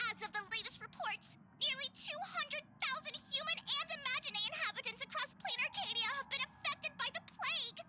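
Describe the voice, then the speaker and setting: A woman's voice: very high-pitched, brisk, hardly any pauses, heavy background noise. A woman voicing a tiny, cute cartoon snow bunny who earnestly co-hosts a news segment in a video game. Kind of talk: scripted news presenting.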